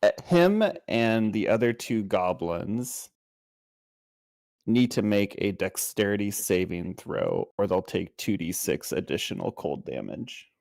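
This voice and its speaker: A man with a low, creaky voice talks casually as a player in a tabletop game. His pitch moves around a lot, though he takes his time with the words. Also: animated, a little slow, darkish tone, no room sound, studio quality.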